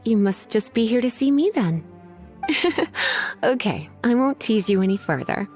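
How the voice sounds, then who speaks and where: A woman's voice: fairly high pitch, expressive, unhurried, darkish tone. A woman voicing a sly young librarian mage who teases and sighs languidly, in video game dialogue. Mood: teasing. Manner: languid, teasing, sighing. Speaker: voice actor. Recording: game audio with faint music.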